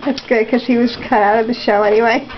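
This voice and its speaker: Woman, high light pitch, casual chiming-in, chatty companion, dull home recording. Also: steady tone, quick pace, a crowd in the background. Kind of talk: casual chat.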